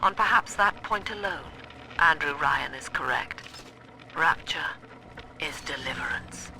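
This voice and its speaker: An actress playing a cold, lofty middle-aged British cult leader and psychiatrist in a video game, with measured, formal, clinical preaching. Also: female voice, sits low, expressive, vocal fry, pushed and bright, slight background noise, exaggerated voice, low-quality recording. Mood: grave, didactic. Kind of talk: scripted video game villain monologue.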